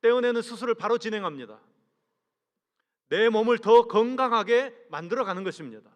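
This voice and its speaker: A man, a senior pastor, preaching a sermon earnestly, asking the congregation rhetorical questions and letting sentence ends fall away low. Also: animated. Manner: measured delivery, trails off low at sentence ends. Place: large church sanctuary. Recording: long reverb from a church hall.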